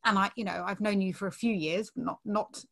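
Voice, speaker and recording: A woman's voice: medium pitch, fairly even intonation, brisk, creaky. A woman hosting a podcast interview, encouraging and affirming her guest, with a casual tone and the odd swear word. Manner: warm-hearted, encouraging, occasional swearing. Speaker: podcast host.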